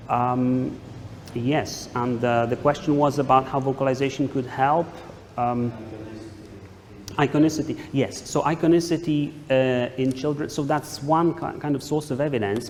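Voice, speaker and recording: A man speaking as an academic researcher, answering questions in a hesitant, halting way. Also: medium pitch, a little mellow. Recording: echoey hall with noticeable background noise.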